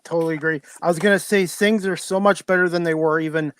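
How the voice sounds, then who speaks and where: A man's voice: fairly high pitch, steady tone, fast, a bit bright, slight background noise. A man hosting a casual talk show interview, chatty and agreeable with his guest. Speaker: podcast host, interviewer.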